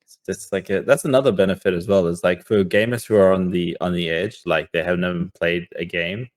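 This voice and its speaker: Guy with a deep voice, casual podcast cohost, kinda circles back over his words. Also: fairly even intonation, brisk. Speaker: podcast co-host.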